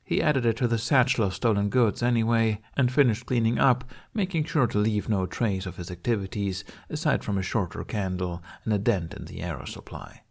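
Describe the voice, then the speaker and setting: A man's voice: on the low side, brisk, gravelly, hardly any pauses. A man reading scripted story narration as an audiobook narrator.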